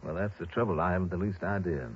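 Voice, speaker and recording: Deep, husky, earnest office man. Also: fast. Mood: grave, worried. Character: earnest, worried Southern insurance office man. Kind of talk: 1960s radio drama acting. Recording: muffled old radio broadcast.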